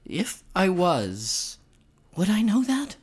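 Slow, spacey, deadpan rock star played by a woman. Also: on the low side, slightly rough, exaggerated voice. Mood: sheepish deadpan. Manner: halting, vague, filler-heavy hedging. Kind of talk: naturalistic comedic radio play dialogue.

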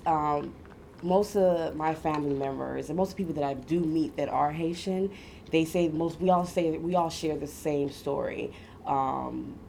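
A woman's voice: deep voice, creaky, warm tone, a little hiss or hum. A woman in her thirties with an American accent, telling personal stories in an informal chat, often acting out dialogue. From Miami, United States. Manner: informal, frequent quoted dialogue. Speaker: podcast guest. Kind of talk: casual chat, personal storytelling.

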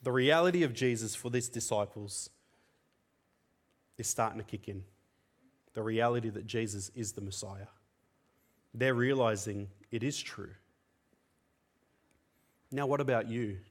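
A man whose first language is Tamil, preaching a sermon in an earnest, conversational way with frequent fillers. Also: mid-range voice.

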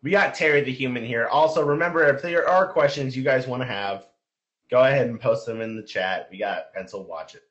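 A man's voice: mid-range voice, a little fast, a bit bright. A man hosting a podcast, putting questions to a guest in a casual interview style over an online voice chat. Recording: muffled lo-fi internet voice call.

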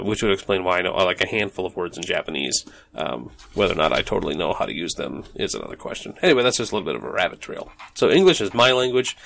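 A man's voice: sits low, creaky, a bit bright. A man hosting a solo podcast from his home office, talking in a loose, rambling way with many ums and restarts. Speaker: podcast host. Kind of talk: casual solo podcast monologue.

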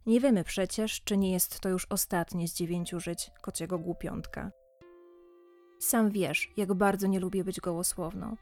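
A woman's voice: on the low side, steady tone, moderate pace, a little mellow, faint music behind, natural voice. A woman playing a witty, affectionate wife reading her letter aloud in a radio play, in a wry, literary manner. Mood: fond, wry.

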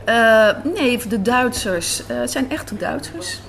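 A woman's voice: a little high, slow pace, gravelly, a bit bright. A woman giving thoughtful, conversational interview answers, searching for words as she goes. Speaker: interview guest, writer and director. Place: on location at an Amsterdam café and square. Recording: noisy on-location recording with city ambience.

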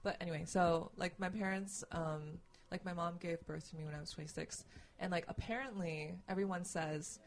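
A woman from Northeast China speaks in a low voice. She chats casually and personally, in halting bursts peppered with "like". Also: a little hiss or hum. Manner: chatty, halting, heavy use of "like".

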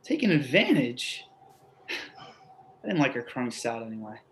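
A man's voice: mid-range voice, big pitch swings, measured pace, a bit bright, slight background noise. A man in a play reading, playing an anxious, needy man with a stammering, pleading manner. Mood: sulky. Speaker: actor in a staged play reading. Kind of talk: readers theatre acting.

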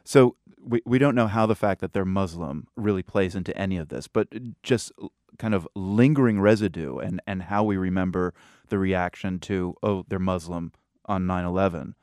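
A man hosting a radio news program, asking questions in a calm, measured way. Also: deep voice, clean tone, dry recording, clean studio sound.

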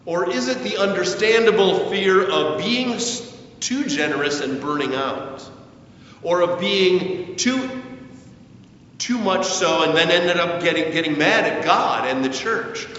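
An older man delivers a sermon in a slow, emphatic way, his voice fairly high and swinging widely in pitch. He sounds distant from the microphone, with heavy echo around his words. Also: expressive, a bit bright. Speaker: pastor. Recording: echoey hall, distant mic, muffled, faint rumble.

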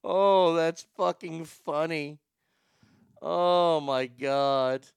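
A man's voice: on the high side, drawn-out words, smooth, dry recording. A middle-aged man from Texas with a stutter, hosting a casual show where he reads viewer comments and answers them bluntly. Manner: rambling, blunt, profane, laughs at his own jokes. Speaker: livestream show host.

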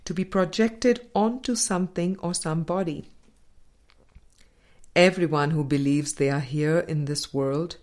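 A woman narrates a spiritual self-help book as a scripted reading. Her voice sits a little low for a woman. She takes her time, moving very slowly and deliberately through each sentence. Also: darkish tone. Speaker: audiobook reader.

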